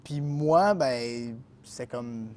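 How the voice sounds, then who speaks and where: A man's voice: mid-range voice, extremely slow, a little mellow, slight background noise. A young man with a Québécois French accent answering interview questions in an easygoing, hesitant way with many fillers. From Quebec. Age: young adult. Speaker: guest, college tennis player.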